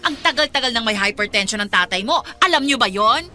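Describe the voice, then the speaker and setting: A woman playing an indignant, jealous, sharp-tongued partner in a Filipino radio drama. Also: a little high, expressive, fast.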